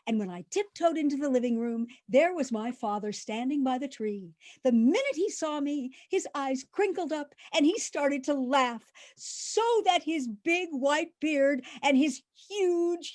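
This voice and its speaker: A woman's voice: high-pitched, lively intonation, measured pace, few pauses, darkish tone. A woman playing a kindly, chatty, absent-minded elderly landlady who rambles earnestly in old-time radio comedy style. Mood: fond, reminiscing.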